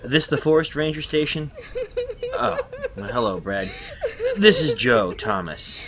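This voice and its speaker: A man acting a flustered, folksy working man in a retro radio drama, halting and colloquial. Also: mid-range voice, lively intonation, a crowd in the background, natural voice.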